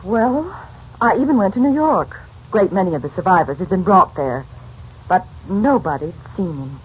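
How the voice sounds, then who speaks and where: Anxious, expressive radio-drama mother. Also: fairly low pitch, normal speed, breathy edge. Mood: wistful. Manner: earnest, emotional. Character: anxious, hopeful mother longing for lost husband. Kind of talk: 1940s radio serial acting. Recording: dull old radio recording, faint noise.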